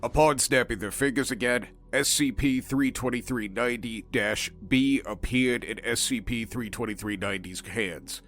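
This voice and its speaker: A man reads scripted narration as a formal, clinical report. His pitch sits in the middle range. Faint music plays behind the narration. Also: normal speed, hardly any pauses, fairly bright tone.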